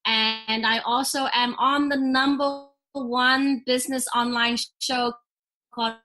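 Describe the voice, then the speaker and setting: A woman's voice: on the high side, little pitch movement, slow pace, pushed and bright, poor audio. A middle-aged woman from Hong Kong, raised in England, with a slight British accent, explaining things conversationally as an interview guest. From born in Hong Kong, raised in England. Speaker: guest entrepreneur and business coach.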